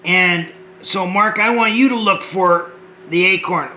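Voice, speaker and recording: A man hosting a homemade children's show, talking gently and hesitantly to the kids and often repeating words. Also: high voice, measured pace, reverberant, rough recording.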